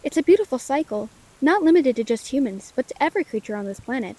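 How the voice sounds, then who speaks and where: A woman's voice: high voice, expressive, quick pace, mellow. A woman playing a friendly, curious, caring lightning elemental in a scripted audio roleplay, speaking earnestly in quaint, formal words. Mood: reflective, tender. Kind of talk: scripted audio roleplay, gentle comforting monologue. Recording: rain behind the voice.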